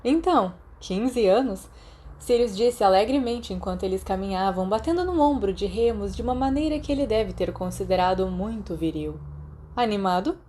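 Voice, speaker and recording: Mellow Brazilian woman narrating fiction. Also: medium pitch, a little fast, few pauses, slight background noise. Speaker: podfic narrator. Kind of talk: scripted narration, fiction audiobook.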